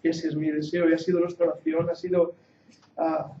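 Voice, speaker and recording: Catalan man teaching Bible, high echoey voice. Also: flat delivery, moderate pace, background noise. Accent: Catalan Spanish mixed with English. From Catalonia, Spain. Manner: earnest and jokey, rising to emphatic preaching. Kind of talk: classroom lecture, sermon-like. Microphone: handheld or lapel mic. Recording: muffled echoey hall recording.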